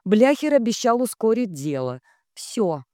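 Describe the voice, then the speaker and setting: A woman's voice: fairly high pitch, big pitch swings, unhurried, mellow, no room sound, studio quality, pitched above the performer's own voice. A woman voicing a composed, businesslike male shinobi envoy.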